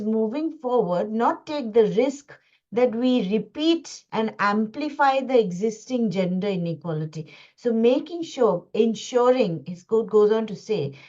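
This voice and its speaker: A woman from India giving an earnest webinar talk over a video call, halting and full of restarts and fillers. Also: medium pitch, mellow. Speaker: guest speaker. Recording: dull lo-fi call audio.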